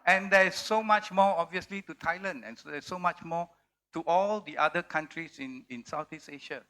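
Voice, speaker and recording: Man, from Singapore, publisher, crisp bright tone, earnest, repeats himself. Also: on the high side, normal speed. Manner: earnest, emphatic, repeats words. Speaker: publisher on a panel. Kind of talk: panel discussion. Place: festival stage.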